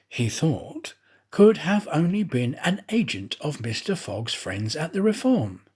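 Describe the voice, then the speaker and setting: A man reading a classic novel aloud as an audiobook narrator, with theatrical, measured phrasing. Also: mid-range voice, slightly rough.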